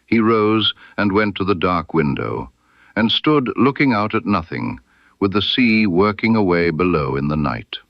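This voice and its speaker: Deep-voiced man narrating a detective story. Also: sing-song, unhurried, vocal fry, no echo, dull audio. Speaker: audiobook narrator. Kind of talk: scripted detective story narration, reading as Dr. Watson.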